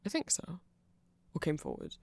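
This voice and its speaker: A performer voicing a nerdy vampire in a casual ASMR roleplay, explaining things in a hesitant, digressive, conversational way with a creaky voice. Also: moderate pace, darkish tone, lightly acted. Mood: nerdy, rambling. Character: nerdy rambling vampire, eager fan explaining band lore.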